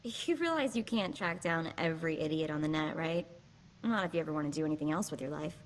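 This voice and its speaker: Street smart young hacker girl for a game, breezy and wry, bit low, some background noise. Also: expressive, fast. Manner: wry, breezy, clipped slangy talk. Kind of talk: video game voice acting.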